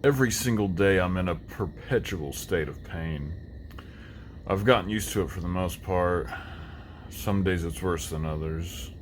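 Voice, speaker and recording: A man voicing an undead metal musician, a brooding but polite reaper giving earnest, measured interview answers. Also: low voice, a little hiss or hum. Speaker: talk show guest.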